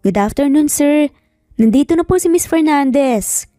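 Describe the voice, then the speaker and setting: A woman's voice: sits high, lively intonation, normal speed, mellow, no echo, pitched above the performer's own voice. A Filipino woman voicing a polite, eager young female office secretary in a narrated story, courteous and deferential. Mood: polite, restrained. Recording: background music under voice.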